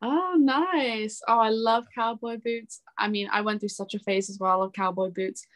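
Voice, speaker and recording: A woman in her twenties speaking as an interview guest in a casual, chatty and expressive way. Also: a little high, normal speed. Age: 20s. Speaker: interview guest, singer-songwriter.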